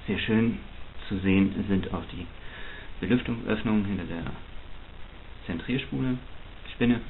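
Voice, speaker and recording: A man with a fairly low voice talks through an unboxing in an off-the-cuff way. He explains technical details like a hobby reviewer. The recording is narrow and phone-like, with some hiss. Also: a little slow. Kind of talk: spontaneous unboxing commentary. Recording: muffled phone-like sound, clear hiss.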